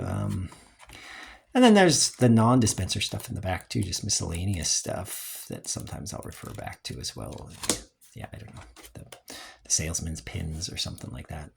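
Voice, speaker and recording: A man with a low voice and a husky, creaky edge talks through a casual review. He rambles unscripted and hesitates as he goes, like a hobbyist host sharing what he knows. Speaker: host of a collecting review video.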